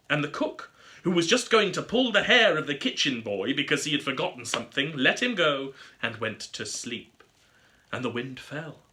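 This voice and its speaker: Casting: male storybook narrator, higher register, very bright and forward tone, theatrical and expressive. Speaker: storybook reader. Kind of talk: scripted fairy tale narration.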